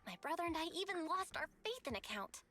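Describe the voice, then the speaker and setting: A woman voicing a friendly, upbeat and expressive young woman in an anime-style video game, talking in an easily amazed way. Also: very high-pitched, fast talker, full character voice. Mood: reflective.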